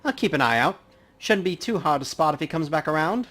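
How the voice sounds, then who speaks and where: Casting: man playing a talkative, folksy game coroner, higher-pitched and very animated in delivery. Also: fairly quick. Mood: chatty, upbeat. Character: chatty, folksy male coroner at a crime scene. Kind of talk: acting out video game dialogue.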